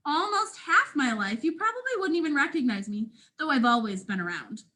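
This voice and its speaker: A woman's voice: high voice, big pitch swings, brisk, bright, forceful tone, full character voice. A woman with a North American accent voicing planet Earth as a proud, playful, ancient storyteller in a children's picture book, with dramatic emphasis.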